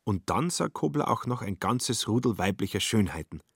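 A man's voice: fairly low pitch, expressive, moderate pace, breathy edge, dry recording, clean studio sound. A man narrating a literary radio drama in a wry, theatrical way.

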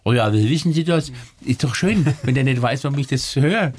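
Quick, husky Franconian man in his fifties. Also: medium pitch, expressive, no echo. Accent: Franconian German. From Franconia, Germany. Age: 50s. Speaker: cabaret artist, guest. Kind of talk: relaxed interview chat.

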